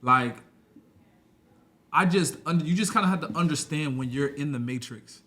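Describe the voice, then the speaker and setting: A man's voice: on the high side, normal speed, sharp tone. A man in his twenties, a podcast guest, talking loosely and rambling in a casual conversation. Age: 20s. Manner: loose and rambling, full of "you know what I'm saying".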